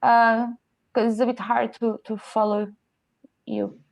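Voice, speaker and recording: A woman's voice: a little high, flat delivery, a little slow, rough recording. A woman moderating an online seminar, speaking casually and politely with tentative, hesitant phrasing.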